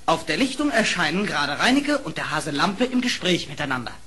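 A zealous lynx courtier in an old radio play. The voice is high and very animated, with theatrical formality and slight background noise. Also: male voice, brisk. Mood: recounting. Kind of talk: German fairy-tale radio play acting.

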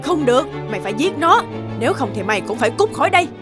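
A woman's voice: high voice, big pitch swings, fast, music in the background. A woman voicing a cruel, bossy middle-aged stepmother in a cartoon, harsh, scornful and scolding. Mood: furious. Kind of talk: dubbed cartoon fairy tale acting.

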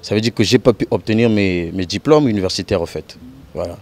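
Congolese musician guy being interviewed outside, lowish voice, calm and explains stuff with lots of "donc euh". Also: fairly quick. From Brazzaville, Congo. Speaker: guest musician. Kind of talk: casual interview. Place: outdoor courtyard. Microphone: handheld mic held by host.

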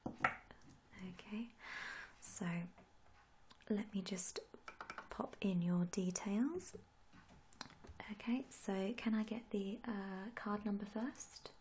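Young female ASMR artist, gentle and half-whispered, medium pitch, noticeable background noise. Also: drawn-out words, pauses often. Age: young adult. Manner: gentle, hushed, often slipping into whisper. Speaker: ASMR creator playing a tattoo artist. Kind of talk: soft-spoken ASMR roleplay. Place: small room indoors.

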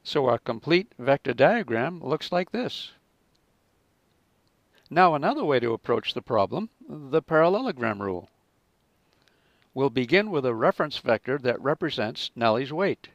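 A man narrating a scripted physics lesson as a teacher, in a measured, explanatory manner. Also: mid-range voice, big pitch swings, gravelly, no echo, dull audio.

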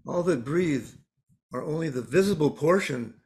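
A man voices a mysterious spirit in an audio drama, with a slightly high male voice. It is world-weary and blunt, handing down cryptic pronouncements as plain matters of fact. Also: expressive, normal speed, a little mellow, slightly rough. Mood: cryptic, philosophical. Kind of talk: dramatised story dialogue.

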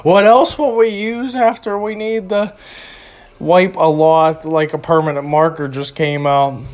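A man's voice: on the high side, fairly even intonation, measured pace. A young man from recording a joking, rambling voice-over at home, reviewing a public restroom in a mock-serious way.

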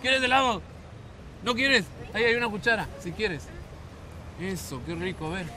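A man's voice: high voice, expressive, moderate pace, bright, forceful tone, a faint crowd. A man in his thirties hosting on location with an Ecuadorian Spanish accent, playful and coaxing, often repeating words, holding a handheld mic. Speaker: TV host. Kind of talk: lively on-location presenting. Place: hotel kitchen and street outdoors. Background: street ambience, traffic.

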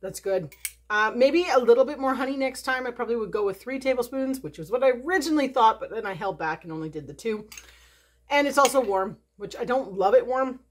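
A woman's voice: fairly high pitch, animated, a little fast. Chatty woman hosting a home cooking video. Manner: chatty, upbeat, thinks aloud while working. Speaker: homesteading channel host. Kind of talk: casual how-to cooking video. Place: home kitchen.

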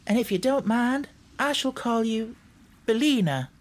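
Woman playing a young storybook country girl, high pitch, earnest, outspoken, emphatic. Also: lively intonation, measured pace. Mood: earnest, friendly. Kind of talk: storybook character voice.

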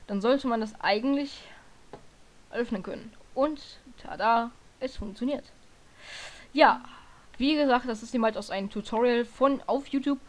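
A hoarse woman talking casually through a screen-recorded software tutorial, often stopping to correct herself and filling pauses with hesitations. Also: a little high. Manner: rambling, self-correcting, lots of fillers.

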